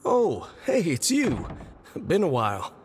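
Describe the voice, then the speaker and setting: Hesitant, slow-talking friendly postman. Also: on the high side, huge pitch swings, a little hiss or hum, heavily acted. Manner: hesitant, bashful. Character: friendly, awkward, apologetic male postman. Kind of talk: video game voice acting.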